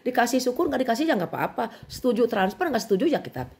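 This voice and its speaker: A middle-aged woman with a Sundanese accent, venting in an indignant, animated monologue as a vlogger. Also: on the high side, fast, vocal fry.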